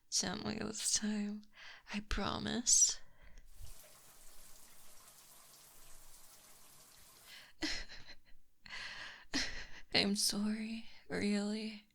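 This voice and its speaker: A woman playing a possessive, teasing lamia monster girl in a soft, breathy ASMR roleplay, close on a binaural microphone. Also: medium pitch. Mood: doting, teasing. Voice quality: breathy, whispery. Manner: soft, teasing, slow and deliberate.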